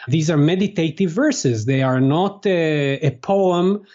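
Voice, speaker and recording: A man whose first language is Hebrew, answering interview questions as a translator, pausing often with fillers and restarts. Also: fairly high pitch, lively intonation, dark tone, dull audio. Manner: halting, many fillers and restarts. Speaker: guest, translator.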